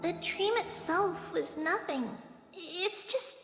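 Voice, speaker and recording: A woman voicing a gentle, wistful, ancient demigod in the body of a small girl, in an anime game. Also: very high-pitched, slow pace, heavily acted. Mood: solemn, wistful. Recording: echoey hall reverb under music.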